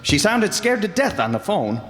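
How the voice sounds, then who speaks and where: A man's voice: high voice, sing-song, moderate pace, fairly bright tone. A man playing a wry, confident amateur detective in an old-time radio drama. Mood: focused, assured. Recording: noisy echoing hall.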